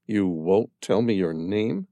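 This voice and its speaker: A man's voice: lowish voice, takes it slowly, mellow, no room sound, lo-fi sound. A man reading aloud as a curious male stranger who keeps asking pointed questions. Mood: probing. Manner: inquisitive, persistent questioning.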